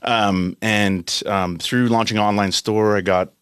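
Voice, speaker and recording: American guy late 30s, lowish voice, chatting as an interview guest, dry studio sound. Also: steady tone, measured pace, fairly bright tone, clear, resonant tone, no echo. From United States, California. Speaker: interview guest, company country head. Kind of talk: casual interview conversation.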